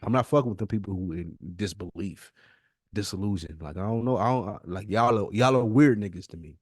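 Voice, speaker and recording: A man's voice: lowish voice, a little fast, dry recording, studio quality. An American man co-hosting a podcast in casual, argumentative chat, blunt and emphatic, repeating himself to press his point. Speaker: podcast co-host.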